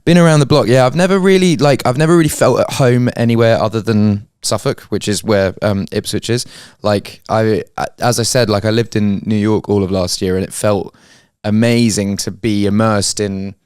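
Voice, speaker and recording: Smooth low-voiced Englishman chatting. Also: steady tone, normal speed, few pauses, darkish tone, no room sound, clean studio sound. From England. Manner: rambling with many restarts. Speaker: guest. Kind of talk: casual chat.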